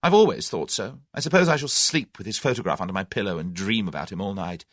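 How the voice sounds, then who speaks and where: A man's voice: on the low side, lively intonation, quick pace, no room sound, clean studio sound. A man voicing a plucky, proud young English woman in an audiobook, earnest and exclamatory. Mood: rueful. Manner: earnest, exclamatory, self-scolding. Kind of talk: audiobook character voice.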